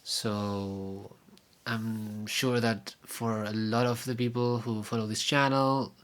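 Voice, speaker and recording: A young man from Argentina, a native Spanish speaker with an Argentine accent, giving a casual video update in a rambling, halting way with many fillers and restarts. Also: lowish voice, little pitch movement. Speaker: vlogger and podcaster.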